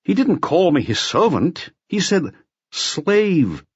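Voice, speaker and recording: A man's voice: on the high side, big pitch swings, slow, slightly rough, dry recording, muffled recording, pitched above the performer's own voice, heavily acted. A man voicing a nervous, intense criminal who talks in an agitated, confessional way in an audiobook. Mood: bitter, confessing. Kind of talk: audiobook character voice.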